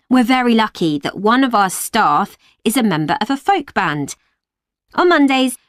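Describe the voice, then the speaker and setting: A woman who runs an after-school club, read by an actress walks parents through the weekly activities. She speaks clearly and at an even, measured pace. The recording is dry and close. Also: mid-range voice, dry recording, close to an everyday voice. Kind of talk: scripted exam recording.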